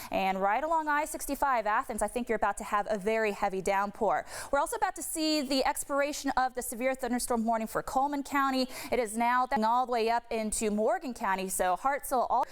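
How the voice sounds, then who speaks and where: Clear higher-voiced woman forecasting weather. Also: brisk, few pauses. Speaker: TV meteorologist. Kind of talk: ad-libbed weather forecast commentary.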